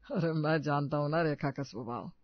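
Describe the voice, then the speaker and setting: Man acting an older father-in-law, mischievous, encouraging, cartoon family story, high male voice, dry lo-fi recording. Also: moderate pace, no room sound, lightly acted. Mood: conspiratorial. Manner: encouraging, playful.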